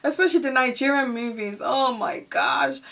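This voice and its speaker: A woman's voice: high voice, moderate pace, fairly bright tone. A woman from Ghana chatting casually as a vlogger, rambling with lots of "like" and "you know". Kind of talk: casual get-to-know-me chat. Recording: voice a bit distant from the mic.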